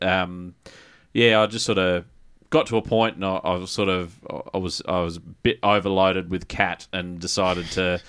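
A male podcast co-host with a deep, clean-toned voice. He talks casually in a group chat, often doubling back on words as he gives his opinion. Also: flat delivery, normal speed, a bit bright, clear, resonant tone.

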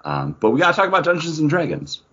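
A man hosting a casual podcast, chatting in an animated, joking way with quick asides. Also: a little high, slightly rough, muffled recording. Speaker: podcast host.

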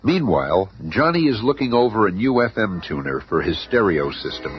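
A man's voice: on the low side, sing-song, a little fast, soft background music. A man narrating a superhero radio serial in a scripted, dramatic storytelling style. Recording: muffled old radio recording.

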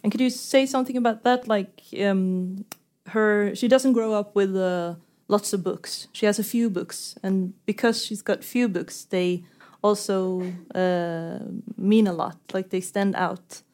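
A woman interviewer putting questions in a literary conversation, phrasing them tentatively and haltingly. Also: medium pitch, steady tone, a little mellow.

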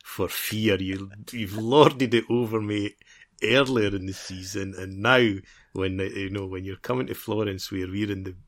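A man's voice: fairly low pitch, a little slow, a bit bright. A man co-hosting a football podcast, chatting casually in a rambling, wry, teasing way and often repeating phrases as he builds a point. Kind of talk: casual sports chat.

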